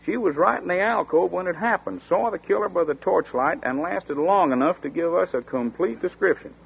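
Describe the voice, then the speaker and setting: An actor playing a chatty small-town sheriff's deputy who talks in a folksy rural dialect, in 1940s radio acting. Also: male voice, sits high, brisk, gravelly, slightly rough, heavily acted. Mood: storytelling. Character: folksy drawling small-town male deputy, middle-aged. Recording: tinny scratchy 1940s radio broadcast.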